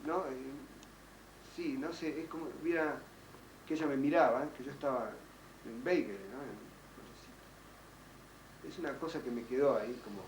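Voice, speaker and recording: An older man whose first language is French tells his memories haltingly, restarting and searching for words. He sits back from the microphone in a roomy space. A faint hiss runs underneath. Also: mid-range voice. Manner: halting, many restarts and fillers. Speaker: interviewee, child survivor. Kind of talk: recorded oral history testimony. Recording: roomy, distant, faint noise.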